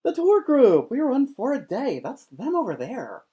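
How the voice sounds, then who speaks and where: A woman's voice: high voice, huge pitch swings, normal speed, mellow, slightly rough, heavily acted. A woman voicing a welcoming factory gate guard in an audio play, exclaiming as she talks. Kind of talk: scripted audio play acting.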